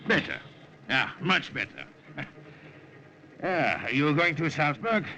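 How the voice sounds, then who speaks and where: An actor playing a jovial, insistent, tipsy army captain in a 1950s radio drama. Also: male voice, on the high side, sing-song, takes it slowly, pushed and bright, exaggerated voice. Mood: insistent, chuckling. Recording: dull, noisy vintage radio recording.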